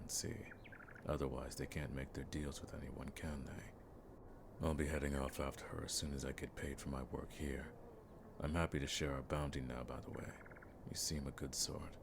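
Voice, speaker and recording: Man playing a very deep gravelly monster hunter. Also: background noise. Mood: matter-of-fact, friendly. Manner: measured, wry, old-fashioned turns of phrase. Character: seasoned male monster hunter, world-weary, dryly friendly. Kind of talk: fantasy audio roleplay acting to the listener.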